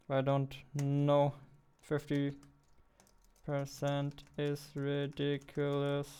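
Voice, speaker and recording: A man's voice: on the high side, a little mellow, clean tone. A young man, an indie game developer, thinking aloud while he codes and muttering to himself between tasks. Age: young adult. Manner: muttering to himself, half-distracted. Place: small room at a computer desk.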